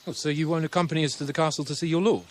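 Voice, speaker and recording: A man's voice: on the high side, steady tone, very fast, a little hiss or hum, lightly acted. An actor playing a jovial, impatient young nobleman in a game, with a breezy, joking way of talking. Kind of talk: video game cutscene acting.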